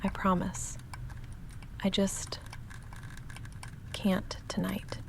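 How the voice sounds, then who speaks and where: A woman playing a goth vampire roommate in an intimate ASMR roleplay, soft-spoken and halting, dry and guarded but quietly affectionate, often slipping into near-whisper. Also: medium pitch, monotone, creaky, slight background noise. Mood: weary, subdued.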